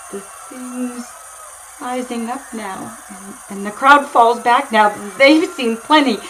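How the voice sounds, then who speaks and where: An actress playing an excitable, overwhelmed field reporter in a 1930s-style radio drama, speaking in dramatic broken phrases. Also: female voice, sits high. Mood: awed, shaken. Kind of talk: 1930s radio drama acting, breathless live commentary. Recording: noisy audio with constant ambience.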